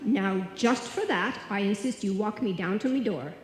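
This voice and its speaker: A woman's voice: medium pitch, normal speed, lightly acted. A woman playing a vain, huffy older Irish landlady in live old-time radio comedy style. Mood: coy, indignant. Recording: echoey hall, live stage performance.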